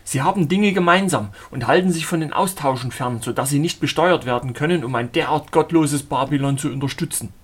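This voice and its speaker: Fanatical preacher as voiced by a male reader, husky, declaiming, high-pitched. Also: brisk, few pauses. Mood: fervent ranting. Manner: shouting, declamatory.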